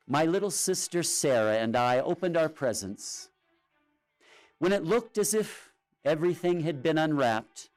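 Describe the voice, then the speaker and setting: Male storytime reader, theatrical and unhurried, lively swooping delivery, music underneath. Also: on the high side. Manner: theatrical, expressive, with drawn-out pauses. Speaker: storyteller reading a picture book aloud. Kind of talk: dramatic children's storytime narration. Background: music and sound effects.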